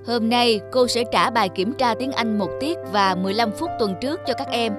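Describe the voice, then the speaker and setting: A woman's voice: on the high side, brisk, natural voice. A woman acts a cartoon schoolteacher, earnest and a little stern. She admonishes her class, with music underneath. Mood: disappointed. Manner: clear classroom address, admonishing. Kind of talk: cartoon dialogue.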